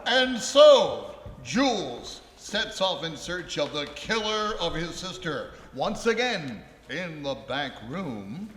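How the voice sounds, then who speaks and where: A man's voice: a little high, big pitch swings, takes it slowly, few pauses, fairly bright tone, a little hiss or hum, heavily acted. A man playing a grandly theatrical narrator and announcer for a live radio play. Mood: grandiose. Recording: reverberant ballroom stage.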